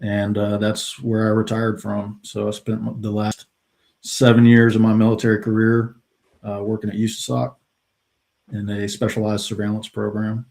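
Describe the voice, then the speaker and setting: A middle-aged American man speaks as a podcast guest, his voice low and fairly flat in tone. He talks in a loose, easygoing way, with plenty of ums and uhs as he tells his story. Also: normal speed, gravelly. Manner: relaxed storytelling with many fillers. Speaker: podcast guest, retired special forces soldier. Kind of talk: casual interview talk.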